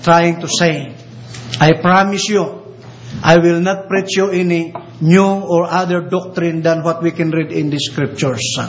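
A man with a Filipino accent, a native Ilocano speaker, preaching a sermon in English. He talks haltingly with many filler pauses and slows down when he reads scripture. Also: on the high side, fairly even intonation. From Philippines. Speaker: visiting preacher. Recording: dull lo-fi recording with faint ambience.

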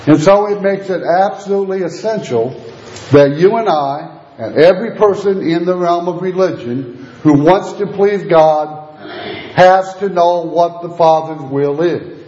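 A male preacher delivers a steady teaching sermon, his voice a bit hoarse because he is losing it. His pitch sits somewhat high for a man. The recording is dull and lo-fi, with plenty of room echo. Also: a little slow.

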